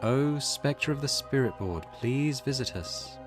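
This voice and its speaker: A man's voice: medium pitch, animated, a little slow, over music, close to an everyday voice. A man voicing a composed teenage schoolgirl who leads an occult ritual, reading video game dialogue aloud. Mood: calm instructing.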